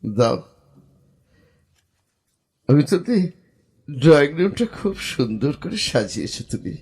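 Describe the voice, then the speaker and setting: An anxious young man in an audio horror drama. His high voice swings widely in pitch. He speaks slowly and haltingly, catching on words as if troubled by what he sees. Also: big pitch swings, darkish tone, heavily acted. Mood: hesitant. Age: young adult. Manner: halting, breathy hesitations and repeated words. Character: anxious young man haunted by terrifying visions. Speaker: protagonist and first-person narrator.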